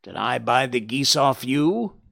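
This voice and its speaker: A man voicing a surly, impatient market stall salesman in an audiobook story, blustering and shouting. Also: fairly low pitch, lively intonation, slow pace, full character voice. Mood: angry, blustering.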